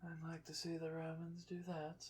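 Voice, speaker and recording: A performer in an ASMR roleplay voicing a haughty, prideful undead Egyptian pharaoh, slow and softly acted, with pompous, formal phrasing. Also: mid-range voice, completely flat delivery, slightly rough, natural voice. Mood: curious, haughty.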